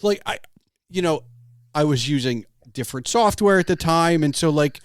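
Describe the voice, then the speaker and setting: A man hosting a casual interview podcast, thinking aloud in a halting way and often restarting his phrases. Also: fairly high pitch, animated, no echo, studio quality. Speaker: podcast host.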